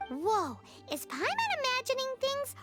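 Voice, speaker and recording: Paimon, squeaky little fairy. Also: female voice, wildly swooping pitch, a little slow, over loud music, exaggerated voice. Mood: nervous. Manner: squeaky, cutesy, speaks in third person. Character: tiny chatty childlike female fairy companion, blunt and excitable. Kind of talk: English game dub acting.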